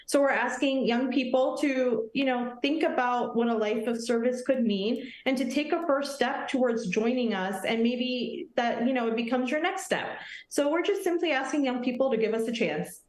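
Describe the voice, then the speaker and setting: A woman's voice: high voice, normal speed, reverberant. A woman in her thirties from Nepal, an Army officer answering questions in an earnest, friendly way on a morning TV show. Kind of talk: morning TV interview.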